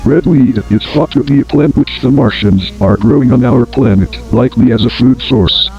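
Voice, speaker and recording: Deadpan man as a lo-fi instructional tape announcer. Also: medium pitch, natural voice. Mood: grave, detached. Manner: formal, measured, deadpan. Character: grave male announcer of an emergency survival tape. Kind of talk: scripted instructional tape narration, analog horror. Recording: dull lo-fi old tape, music behind.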